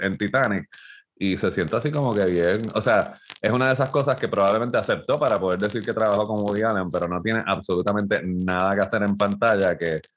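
Deep-voiced middle-aged man, rambling critic. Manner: rambling, with many fillers and restarts. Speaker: film critic podcast co-host. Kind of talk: casual film discussion. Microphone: headset.

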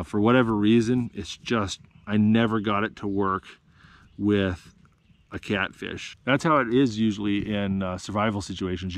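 Man, 30s, outdoor vlog host; low-ish voice, relaxed how-to delivery, recorded outdoors on a small camera mic. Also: no echo. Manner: relaxed, explanatory, practical how-to talk. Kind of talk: casual outdoor vlog narration. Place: outdoors in a forest. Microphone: action camera, worn on the body or close up.

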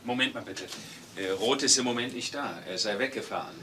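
A man playing a brisk police assistant in a radio crime play, businesslike and formal. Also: mid-range voice, pushed and bright, slight background noise, natural voice. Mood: reporting.

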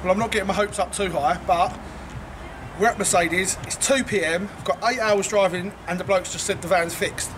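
A man's voice: high-pitched, fairly even intonation, fairly quick, noisy background. A man, chatting cheerfully to camera outdoors about a road trip. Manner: cheerful, chatty, laughs often. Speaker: carp angler and presenter. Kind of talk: casual vlog to camera. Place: outdoors at a garage forecourt.

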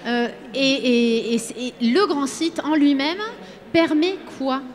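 High-voiced woman interviewer. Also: slight background noise. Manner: brisk, prompting questions. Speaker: interviewer host. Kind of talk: live talk-show interview. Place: open studio set at a trade fair hall. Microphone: handheld microphone.

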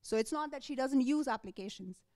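A woman's voice: high-pitched, expressive, brisk, darkish tone, no echo, studio quality. A woman giving a live conference talk. She speaks conversationally, with many fillers and restarts, and puts questions to the room.

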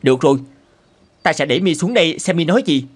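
A man's voice: a little high, animated, fast, soft background music, close to an everyday voice. A man voicing a burly, mocking, suspicious hunter in a cartoon, theatrical and blustering. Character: burly middle-aged male hunter, gloating, mocking, suspicious. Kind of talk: cartoon character acting.

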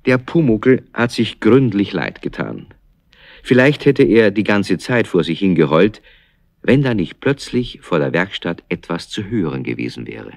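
A man's voice: sits low, very animated, measured pace, gravelly, no echo, exaggerated voice. A man narrating a children's radio play as a storyteller. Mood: storytelling. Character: male storyteller of a children's tale.

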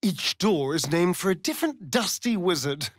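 An actor playing a sardonic, flirtatious vampire rogue in a fantasy video game, with an arch, theatrical, drawling delivery and sly asides. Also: male voice, high voice, expressive, moderate pace, dry recording, studio quality. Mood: dry, watchful. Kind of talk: video game character voice acting.

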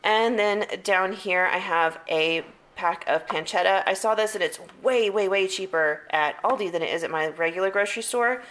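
A woman's voice: mid-range voice, moderate pace, a bit bright. A woman in her thirties casually chatting through a grocery haul at home, upbeat and repeating words for emphasis. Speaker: vlogger. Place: home hallway and kitchen, indoors.

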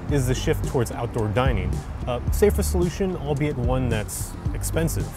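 A young man in his twenties talks straight to camera on a city street, upbeat and conversational, with a mid-range voice. A clear music bed plays steadily under his words. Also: mid-range voice, lively intonation, moderate pace. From San Francisco. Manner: upbeat, conversational, direct address. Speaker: video host. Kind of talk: scripted explainer talk to camera.

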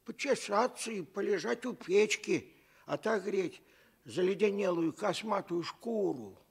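A man voicing an ailing old village grandfather, grumbling and wistful, with a creaky, husky voice in a radio fairy tale. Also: high voice, animated, unhurried, voice pushed up, full character voice. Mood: musing. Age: older.

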